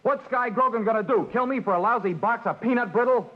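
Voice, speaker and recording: Jittery young convict, quick and lively, dull old radio sound. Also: male voice, extremely high pitch. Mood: anxious. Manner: anxious, pleading. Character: scared young male inmate, nervous and pleading. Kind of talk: 1940s radio acting.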